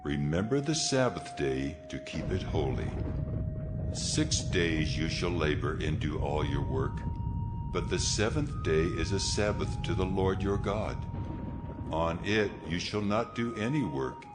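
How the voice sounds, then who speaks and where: A very deep, solemn God. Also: male voice, animated, unhurried. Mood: solemn command. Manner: solemn, declaratory. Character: God, stern commanding male deity giving laws. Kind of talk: dramatized scripture audio. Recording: music behind the voice.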